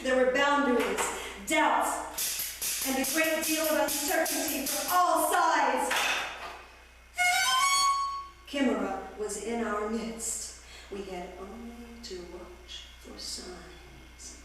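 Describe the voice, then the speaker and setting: A woman's voice: fairly high pitch, takes it slowly, over music. A woman playing a pompous, grave doctor who recounts a strange case in a declamatory, clinical way, as live avant-garde radio drama. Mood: uneasy. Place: live performance space with audience. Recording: echoey noisy hall, distant mic.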